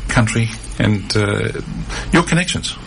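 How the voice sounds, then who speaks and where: A man's voice: fairly low pitch, expressive, a little slow, creaky, noisy background. Hesitant man hosting radio. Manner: hesitant, many fillers and restarts. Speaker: radio host. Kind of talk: community radio interview. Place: radio studio.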